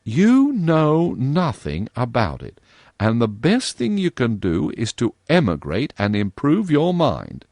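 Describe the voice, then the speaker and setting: A man's voice: medium pitch, wildly swooping pitch, slow, darkish tone, dry recording, voice pushed up, full character voice. A man voicing an earnest, primly stern young girl in a storybook reading, with a scolding manner.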